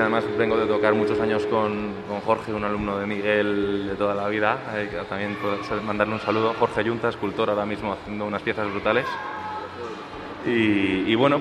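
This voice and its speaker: A male band musician calmly explaining how the band writes its songs. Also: lowish voice. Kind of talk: casual interview. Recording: echoey noisy room.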